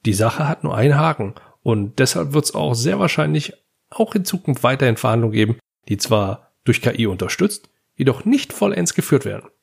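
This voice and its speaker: A man's voice: mid-range voice, sing-song, fairly quick, gravelly, husky. A man from Germany hosting a podcast, delivering a scripted advice monologue that speaks directly to the listener. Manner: direct address to the listener, anecdotal. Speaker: podcast host.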